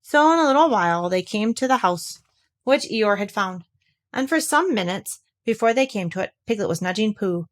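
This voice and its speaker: A woman's voice: mid-range voice, expressive, normal speed. A woman reads a children's storybook aloud in a mid-range voice. It is scripted narration, delivered as a story read to listeners. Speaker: storybook reader.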